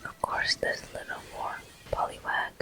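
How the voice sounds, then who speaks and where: A person whispering softly and breathily into a close microphone, narrating gameplay step by step in an ASMR style. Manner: soft, unhurried, gently narrating each step. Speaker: gaming video host. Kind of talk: ASMR whispered game commentary.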